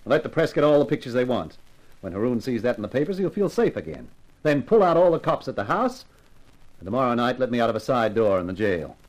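Hardboiled private detective, swooping expressive delivery, punchy slang, weary defiance, 1950s radio serial. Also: male voice, medium pitch, fast, low-quality recording. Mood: brisk, defiant. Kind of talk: 1950s radio crime drama acting with first-person narration.

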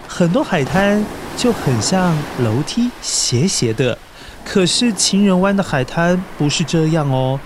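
A man's voice: high voice, a little hiss or hum. A man with a Taiwanese Mandarin accent hosting a children's story podcast, explaining things simply and coaxingly to young listeners.

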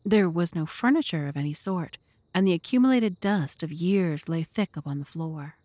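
A woman playing the measured storyteller of a detective mystery in an audio drama, narrating. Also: lowish voice, lively intonation, dark tone, no echo, lightly acted. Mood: measured, descriptive. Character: measured storyteller recounting a detective's investigation as companion.

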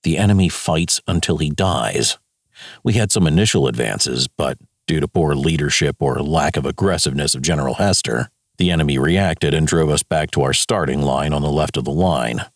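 A man's voice: extremely low pitch, moderate pace, no room sound, clean studio sound. A man with an American accent playing a stern wartime general, reading his logbook in clipped, grave military phrases. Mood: grave, disappointed.

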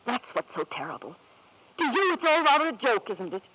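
A woman's voice: on the high side, sing-song, fairly quick, muffled recording. An actress playing a bitter, weary wife and anxious mother in a 1950s radio drama, formal and emotional, on an old broadcast recording. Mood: anxious, resolute.

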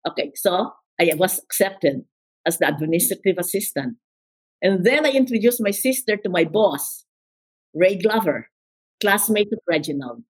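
Older woman, Filipino accent, husky, emphatic, repeats herself. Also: on the low side, expressive, normal speed, rough recording. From Philippines. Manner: emphatic, repeats words, quotes others. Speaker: interview guest. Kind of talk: storytelling interview.

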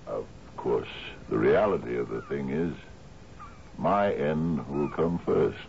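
A man's voice: extremely low pitch, expressive, slow, creaky, a little mellow, full character voice. An actor in a radio drama playing an expansive, dreamy sea captain in his fifties, musing theatrically with questioning little grunts. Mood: wistful musing. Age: 50s. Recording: dull, noisy 1970s radio recording.

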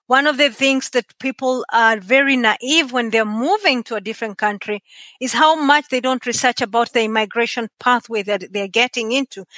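Kenyan-accented woman guest, high and bright, earnest storyteller, dry close sound. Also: no echo. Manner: expressive storyteller, measured and earnest. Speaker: podcaster guest. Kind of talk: conversational interview.

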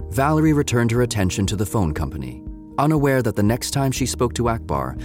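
A man reading scripted narration as the narrator of an audio drama. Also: on the low side.

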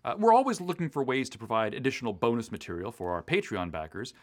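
A man's voice: medium pitch, lively intonation, fast. American man, podcast co-host, very varied intonation, articulate, explanatory, conversational delivery. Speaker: podcast co-host and audio drama writer.

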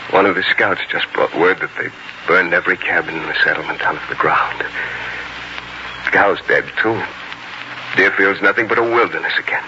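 An actor playing an anguished young frontier settler in an earnest, emotional 1940s radio drama style. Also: male voice, low voice, normal speed, pauses often, background noise. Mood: grim. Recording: muffled old radio broadcast, loud music.

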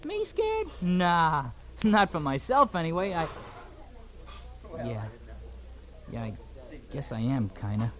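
A young male actor playing an earnest, frightened fifteen-year-old working boy in an old radio drama, speaking haltingly. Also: fairly high pitch, wildly swooping pitch, exaggerated voice. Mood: scared, sheepish. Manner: hesitant, halting. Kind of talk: 1940s radio drama acting.

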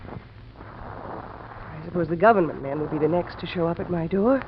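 An actress playing a guarded, fatalistic woman with secrets in a 1950s American radio drama, dramatic, halting and defensive. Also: fairly low pitch, close to an everyday voice. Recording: muffled, noisy old-time radio recording.

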